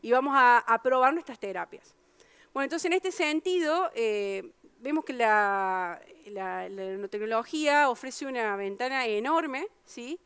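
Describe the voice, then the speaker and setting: A woman's voice: fairly high pitch, a bit bright, clear, resonant tone, no echo. A woman from Argentina with an Argentine Spanish accent, a researcher explaining her work at a public science talk, with frequent fillers and restarts.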